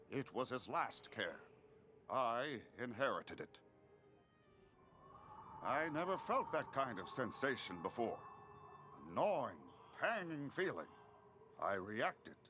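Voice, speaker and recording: A man voicing a troubled otherworldly being in a video game, speaking formally and haltingly, questioning itself. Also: fairly high pitch, fairly bright tone, a little hiss or hum, exaggerated voice.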